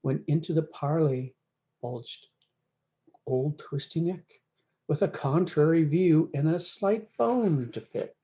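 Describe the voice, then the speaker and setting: Mellow woman reading a folksy storybook aloud. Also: low-pitched, animated, slow pace, highs cut off. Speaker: story time host reading a picture book. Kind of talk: read-aloud of a rhyming cowboy storybook with brief asides.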